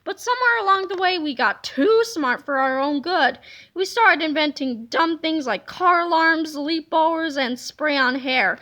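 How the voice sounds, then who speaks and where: A woman reading a children's comic book aloud in a playful, animated way, slipping into silly voices. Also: high voice, measured pace. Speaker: reader.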